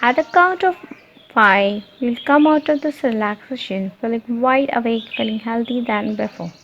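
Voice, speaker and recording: A woman leading a guided meditation, giving repetitive, soothing instructions with deliberate pauses. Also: on the high side, fairly bright tone. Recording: muffled lo-fi, noisy, birdsong behind. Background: birdsong and ambient nature sounds.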